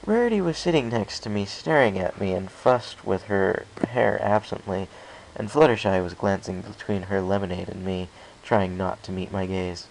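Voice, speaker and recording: A man's voice: low-pitched, normal speed, creaky, slight background noise, poor audio. A man reading a first-person story aloud as scripted narration. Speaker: amateur fan fiction reader.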